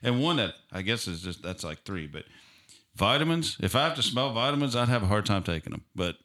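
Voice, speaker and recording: A man's voice: on the low side, very animated, a little fast, pushed and bright. A man co-hosting a podcast, chatting casually and hesitantly searching for words. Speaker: podcast host. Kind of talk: casual chat. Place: podcast room.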